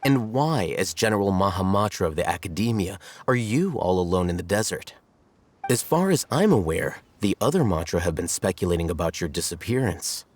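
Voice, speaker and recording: An actor playing a composed, sardonic scholar in a video game, speaking in a measured, articulate, wry way. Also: male voice, sits low, expressive, a little mellow, a little hiss or hum. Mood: cool, probing. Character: composed, sardonic male scholar, coolly analytical. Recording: studio voice over background music.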